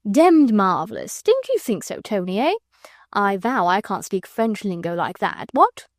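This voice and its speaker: Lazy dandy gentleman, slow drawl, dry close sound, read by a woman. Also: medium pitch, very animated, no room sound. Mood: languid. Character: languid foppish English baronet, man, drawling.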